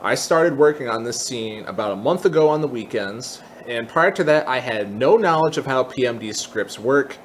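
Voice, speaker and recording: A man's voice: medium pitch, animated, moderate pace, few pauses, slight background noise. A man narrating a tutorial in a conversational, explanatory way. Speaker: tutorial presenter.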